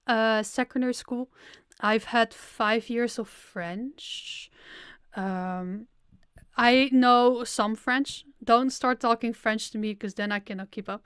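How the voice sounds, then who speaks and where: Young woman, chatty and self-deprecating, smooth clear voice, dry sound. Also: on the high side, a bit bright, no echo. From Netherlands. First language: Dutch. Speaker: audio creator streaming a Q&A. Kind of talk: casual livestream Q&A.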